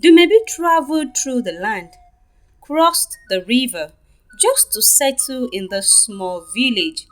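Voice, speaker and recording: A woman with a Nigerian accent narrating a scripted folktale for children in an expressive, deliberate way. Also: fairly high pitch, faint music behind. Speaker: storyteller.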